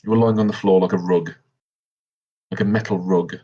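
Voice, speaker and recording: A man's voice: low-pitched, steady tone, a little fast, warm tone, husky. A man improvising in-character dialogue in a tabletop game, speaking insistently and repeating himself. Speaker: tabletop roleplay player. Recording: dull, lo-fi recording.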